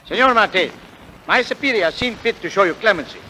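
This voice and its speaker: A formal prison governor in a 1940s radio drama. He is courteous and official, with a high, bright voice. The vintage recording has faint noise. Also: lively intonation, fast talker, exaggerated voice, poor audio. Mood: formal, stern.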